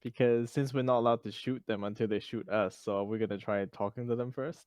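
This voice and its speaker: A man's voice: mid-range voice, fairly even intonation, brisk, darkish tone, dry recording. Man chatting at a game table, dry sound. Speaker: tabletop RPG player. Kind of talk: casual game-table chat.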